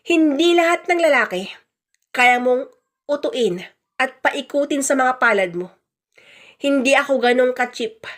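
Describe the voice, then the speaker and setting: A woman acts out a blunt husband in a radio melodrama, fed up and defiant. The voice sits fairly high for a grown speaker, with a self-justifying, scornful edge. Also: animated, measured pace, long pauses. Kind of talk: radio drama dialogue.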